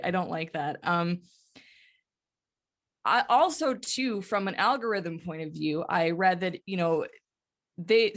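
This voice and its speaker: A woman speaks as a podcast co-host in a casual panel chat. Her pitch sits in the middle range for a woman, and she talks in a frank, conversational way. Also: fairly bright tone.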